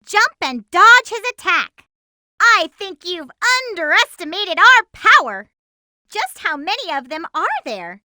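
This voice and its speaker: A spirited young girl heroine from a cartoon-styled video game. The voice is extremely high and sparkling bright, with lively swings in pitch. The delivery is perky, feisty and emphatic, full of determination. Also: a little slow, dry recording, studio quality, full character voice. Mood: feisty, indignant, then eager, determined. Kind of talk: video game voice acting, tips and battle shouts.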